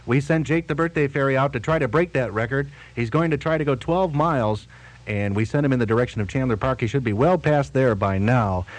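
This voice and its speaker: A man's voice: medium pitch, brisk, no echo, rough recording. A man hosting a morning radio show in easy 1980s banter, playing the straight man.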